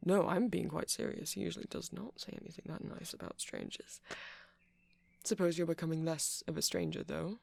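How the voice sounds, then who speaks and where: A man plays a nerdy, gentle vampire who works in a music shop, in an intimate ASMR roleplay. He rambles hesitantly and politely, with plenty of vocal fry and a close, dry sound. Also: a little high, expressive, a little fast, no echo, full character voice. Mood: apologetic, fond.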